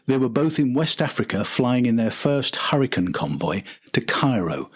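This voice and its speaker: Male podcast narrator, older, mid-pitched and a touch husky, delivering scripted documentary storytelling. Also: big pitch swings, normal speed.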